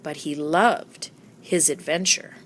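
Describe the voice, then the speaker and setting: A woman narrating a children's storybook aloud with expressive storytelling delivery. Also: low-pitched, takes it slowly, a little hiss or hum. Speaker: storybook reader.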